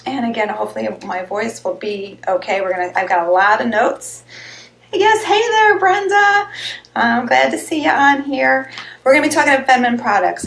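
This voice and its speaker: A woman hosting a live health show, chatting casually and rambling a little, with a slightly hoarse, raspy voice. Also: medium pitch. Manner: chatty, rambling, greets viewers by name. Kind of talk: casual live-stream chat. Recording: echoey room.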